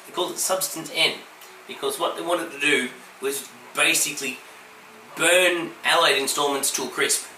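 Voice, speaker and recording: A man's voice: fairly high pitch, fairly even intonation, moderate pace, bright, forceful tone. A man co-hosting a casual comedy podcast while getting over a virus, trading dry quips with lots of ums. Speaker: podcast co-host. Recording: echoey room, distant mic, faint hiss.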